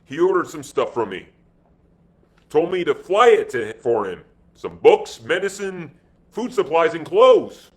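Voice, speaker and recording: A man's voice: a little high, very animated, measured pace, pitched above the performer's own voice. A man voicing a tough American pilot in an adventure story, wary and plain-spoken, with breezy slang. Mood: puzzled.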